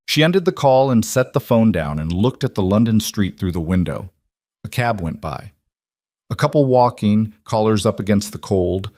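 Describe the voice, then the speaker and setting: A man reading a scripted dramatic story in a measured, solemn manner. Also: lowish voice, a little mellow, no room sound, clean studio sound. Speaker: narrator.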